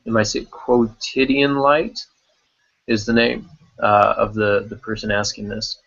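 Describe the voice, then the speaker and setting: A man's voice: on the low side, fairly even intonation, a little slow, creaky, a bit bright, muffled recording. A man hosting a writing podcast in casual unscripted talk, thinking aloud and repeating words as he goes. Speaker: podcast host discussing writing craft.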